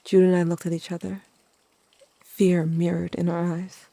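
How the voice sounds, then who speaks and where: A performer playing a young teenage narrator telling a haunted story in a measured, scripted audio-drama style. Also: mid-range voice, monotone, creaky, dark tone, dry recording, close to an everyday voice. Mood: solemn, haunted. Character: young Cain, grieving, watchful older teenage narrator.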